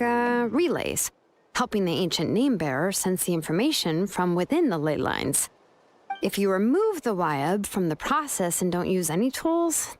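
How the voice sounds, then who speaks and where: A young expert engraver in a video game, with a medium-pitched voice over soft background music. She is laid-back and pragmatic, laying things out matter-of-factly and pausing to think. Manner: matter-of-fact, unhurried, hesitant pauses. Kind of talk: video game voice acting, explanatory dialogue.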